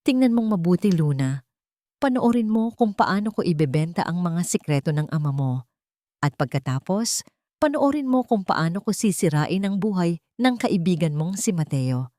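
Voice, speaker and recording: A woman's voice: fairly low pitch, big pitch swings, normal speed, mellow, dry recording, clean studio sound. A woman voicing a cold, calculating male crime boss in an audio drama, menacing and contemptuous. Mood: gloating menace.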